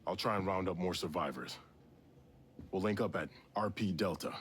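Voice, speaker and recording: An actor playing a blunt, disillusioned soldier in a video game cutscene, talking conversationally. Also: male voice, low-pitched, moderate pace, slight background noise, close to an everyday voice. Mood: bitter, resolved.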